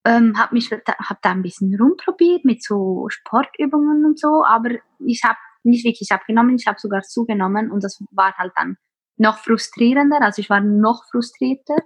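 A young woman in her twenties speaks with a Swiss German accent, in a slightly husky voice. She talks openly and casually, often pausing on filler sounds. The recording is dry and close. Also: a little high, expressive, dry recording. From Switzerland. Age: 20s. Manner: open, many filler sounds. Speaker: podcast guest, teacher and blogger. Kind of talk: casual interview talk.

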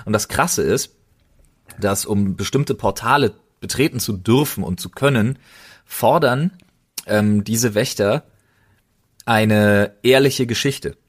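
A man's voice: lowish voice, slow, slightly rough. A man co-hosting a podcast in casual chat, speaking in an emphatic, slangy and enthusiastic way. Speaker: podcast co-host.

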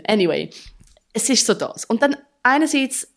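A woman's voice: on the high side, sing-song, normal speed, vocal fry. A young woman with a Swiss German accent, a drummer chatting as an interview guest, laughing often and restarting her sentences. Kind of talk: casual conversational interview.

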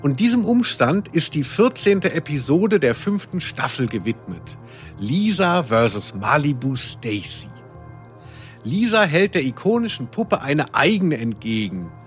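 A man with a slightly high voice and very lively intonation hosts a casual podcast. He presents like a teasing quizmaster putting on a game show, with faint music underneath. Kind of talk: casual podcast banter, playful quiz hosting.

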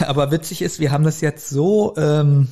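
A man's voice: on the high side, normal speed, darkish tone. A man co-hosting a podcast, chatting casually about an audio drama and often chuckling as he talks. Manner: chuckles often while talking. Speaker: podcast co-host.

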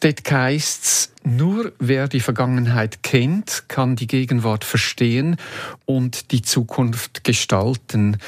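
A man with a Swiss German accent hosting a podcast interview in a measured, explanatory way. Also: medium pitch, dry recording.

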